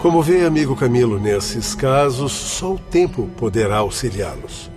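A man's voice: medium pitch, expressive, normal speed, lightly acted. Casting: male actor for an earnest celestial doctor and guide, mid-range voice, articulate and formal, radio drama with music bed. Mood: compassionate. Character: earnest learned spirit doctor, courteous guide of a celestial institute. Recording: music behind the voice.

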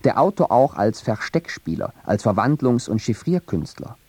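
A man reading a scripted radio feature narration in a measured, academic way. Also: lowish voice, very animated, dark tone, slightly rough, no echo.

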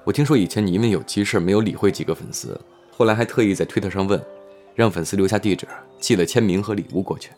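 A low-voiced, reserved actor. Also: male voice, quick pace, a little mellow, soft background music. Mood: calm, friendly. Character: reserved, gentle older male actor, self-doubting. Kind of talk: Chinese audio drama acting.

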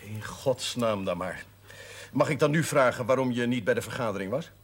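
An actor playing a jovial middle-aged parish priest, hearty and self-mocking, in a 1970s television drama. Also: male voice, mid-range voice, normal speed, breathy edge, close to an everyday voice. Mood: warm.

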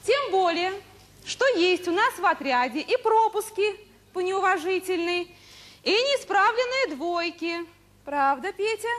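An actress playing an officious, preachy teacher in a radio drama, addressing children in a declamatory, stiffly didactic way. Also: female voice, very high-pitched, expressive, a little slow, a bit bright, exaggerated voice. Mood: preachy, admonishing.

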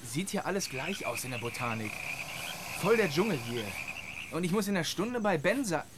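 An actor playing a scruffy, excitable young male activist who rambles in slang in a radio drama. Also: fairly high pitch, moderate pace, background noise. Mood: defensive, rambling.

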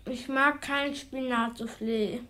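An actor in a German radio crime drama playing a precocious, solemn little aristocratic boy with deliberate diction. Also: medium pitch, fairly even intonation, pushed and bright. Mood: queasy protest.

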